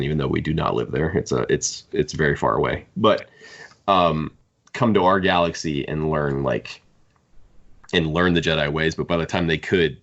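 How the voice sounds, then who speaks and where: Very deep, gravelly man chatting. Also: lo-fi sound. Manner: rambling, conversational. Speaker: podcast co-host. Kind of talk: casual fan discussion.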